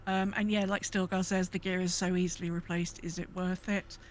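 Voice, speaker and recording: A woman's voice: on the low side, little pitch movement, a little fast. Woman chatting casually, noisy background. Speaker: podcast co-host. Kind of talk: casual gaming podcast chat.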